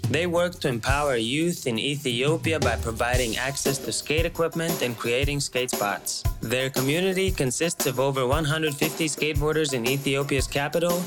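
A man's voice: mid-range voice, moderate pace, few pauses, over music. A man hosting a travel skate vlog, talking in an upbeat, chatty way. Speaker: vlog host.

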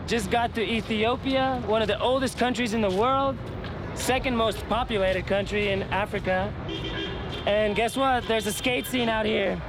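A man's voice: high voice, normal speed, music in the background, poor audio. A man hosting a travel skate vlog, talking in an upbeat, chatty way. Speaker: vlog host.

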